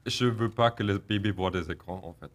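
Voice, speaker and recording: A man, a podcast guest talking in a frank, expressive way. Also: on the low side, fairly quick, background noise. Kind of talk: casual chat.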